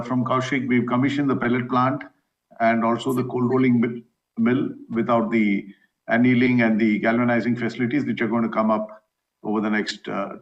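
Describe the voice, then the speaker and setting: A man answering questions as a company executive, explaining in a halting way. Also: mid-range voice. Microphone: conference call. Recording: dull, lo-fi conference call.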